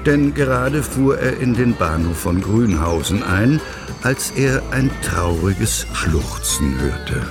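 Male storyteller for children's audio fiction; low, animated, slow-paced, over music. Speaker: narrator. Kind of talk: scripted children's story narration.

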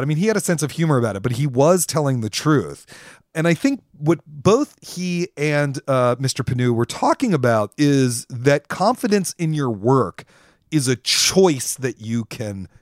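Male podcast co-host, casual and emphatic, very expressive delivery, average pitch, dry sound. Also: no room sound.

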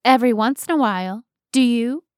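A blunt, sardonic woman played by a woman reader rebuffs a stranger in an amateur play reading. Her voice sits fairly high, with a dry, close sound and a pointed delivery. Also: brisk, darkish tone, no room sound, close to an everyday voice. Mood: guarded.

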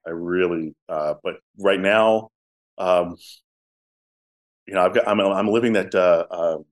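A man with a low voice, a musician telling stories in a casual interview. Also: unhurried. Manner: talkative storyteller, drops to soft tones. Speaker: musician guest.

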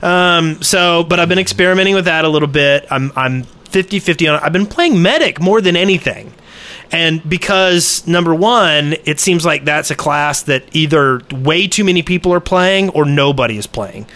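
A man's voice: fairly high pitch, lively intonation, moderate pace, pushed and bright. A man co-hosting a casual gaming podcast, talking in a hesitant, self-questioning way. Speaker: podcast co-host.

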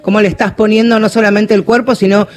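A woman's voice: mid-range voice, level tone, fast. An Argentine woman hosting a radio program, engaged and direct. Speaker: radio host.

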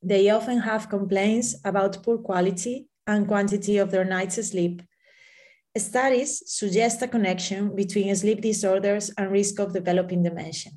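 A woman's voice: medium pitch, steady tone, normal speed, mellow. Woman neurologist co-hosting. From Spain. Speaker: neurologist, co-host. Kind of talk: scripted podcast narration.

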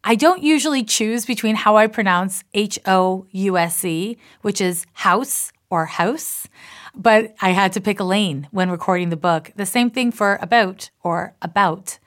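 A woman's voice: mid-range voice, fairly bright tone. A middle-aged woman from Canada whose accent blends Canadian and American sounds. She talks easily and at length, with a mid-range voice and a precise, particular way of shaping her words. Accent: mix of Canadian and American. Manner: particular, deliberate enunciation; chatty, self-described talker. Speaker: astrologer and author. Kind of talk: reflective personal monologue.

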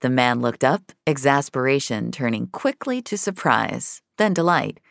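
A woman reads scripted audio fiction as its narrator. Her voice sits extremely low and has plenty of creak. The sound is dry and close, with no room on it. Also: animated, a little slow, no room sound.

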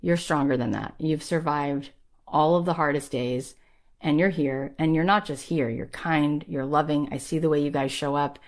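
A woman's voice: low-pitched, fairly even intonation, normal speed. A woman in her thirties, a podcast host giving an emphatic, rallying motivational talk in a conversational way.

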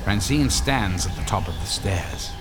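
A male narrator tells a scripted horror tale with suspense. His fairly low voice swings expressively in measured phrases, with music clearly behind him. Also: expressive.

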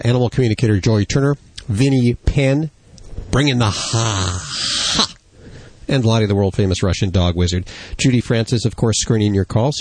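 A man hosting a pet radio show, introducing the team, reading sponsor spots and taking calls. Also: on the low side. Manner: upbeat, quick, fills gaps with uh. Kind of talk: radio host patter and ad reads. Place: radio studio. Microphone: studio microphone.

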